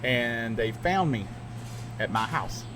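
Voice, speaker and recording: A middle-aged man who works as a radio DJ talks through his stories in a loose, rambling way, often laughing at himself. His voice sits at an ordinary pitch. The recording has steady background noise. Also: slow. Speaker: podcast co-host and radio DJ. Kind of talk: casual chat.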